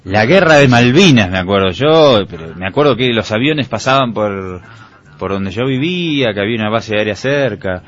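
A man's voice: fairly low pitch, expressive, normal speed, a bit bright. A man in his thirties with an Argentine accent, co-hosting a radio show in a casual, joking, opinionated way. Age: 30s. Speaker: radio co-host. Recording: dull lo-fi radio with faint music.

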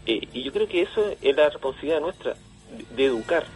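A Chilean man being interviewed on radio, explaining things conversationally with halting, repeated words. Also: on the high side, expressive, low-quality recording. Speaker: interview guest, event organiser. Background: background music.

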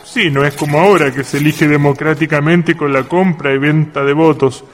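A man's voice: fairly high pitch, steady tone, moderate pace, in a large hall, lightly acted. An actor in a community radio drama playing a proud, hospitable father with a Chilean accent, telling stories to his guests in a folksy manner. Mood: genial. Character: proud father of Dalila, genial small-town host.

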